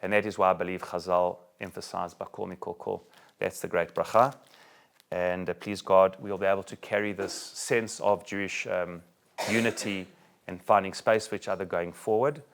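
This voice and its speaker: A middle-aged South African-born rabbi giving a talk to a group and taking questions, joking and animated, with many restarts. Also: male voice, low-pitched, normal speed, gravelly. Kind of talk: talk with audience Q&A. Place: room with a live audience.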